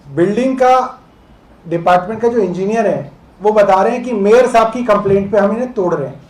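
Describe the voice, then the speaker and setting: A man speaking as a political spokesperson at a press conference, explaining deliberately with pauses. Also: sits high, a little mellow. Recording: roomy, echoing press room sound.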